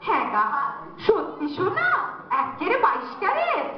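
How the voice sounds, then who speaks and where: A woman playing an excitable, greedy, naive village wife in a comic folk drama, gushing and chattering. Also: extremely high pitch, moderate pace, exaggerated voice. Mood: delighted. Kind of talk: Bengali comic domestic stage drama. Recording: very echoey, distant, dull, faintly noisy.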